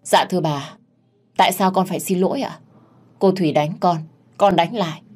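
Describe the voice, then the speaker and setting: A woman voicing a stubborn young housemaid in an audio story, talking back defiantly with a sharp tongue. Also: fairly low pitch, expressive, brisk. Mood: indignant. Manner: retorting, stubborn.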